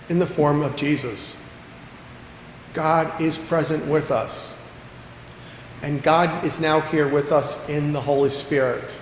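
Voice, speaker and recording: A man preaching a sermon as pastor, earnest, slowing down to stress key phrases and repeating lines for emphasis. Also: fairly high pitch. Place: church sanctuary. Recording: echoey church hall with long reverb, faint background noise.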